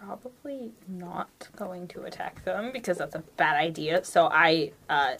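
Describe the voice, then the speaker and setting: A woman plays a tabletop game with friends in a very animated, up-and-down voice. She is giggly and slangy, casually joking through her turns. The recording is noisy, with clicks. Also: fairly low pitch, unhurried. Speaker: player in a tabletop roleplaying game.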